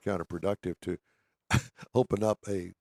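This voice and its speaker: A man's voice: low-pitched, steady tone, normal speed, vocal fry, no room sound, studio quality. A man hosting an automotive radio show, reading items and bantering in a wry, emphatic way.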